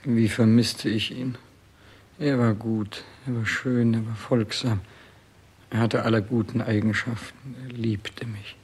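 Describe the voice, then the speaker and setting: Husky, halting dying king. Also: on the low side, steady tone, darkish tone. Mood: tender, haunted. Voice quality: husky, gravelly. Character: dying old king grieving for his lost cat. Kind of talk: 1960s German radio play acting.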